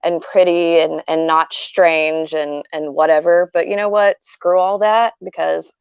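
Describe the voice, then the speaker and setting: A woman in her thirties speaks in a low, gravelly yet smooth voice, full of admiration. Her talk is casual and eager. The sound is dry and dull, as if recorded lo-fi. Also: steady tone, measured pace, dry recording. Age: 30s. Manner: enthusiastic and admiring, with casual "like" and "you know". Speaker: fan asking the guest questions.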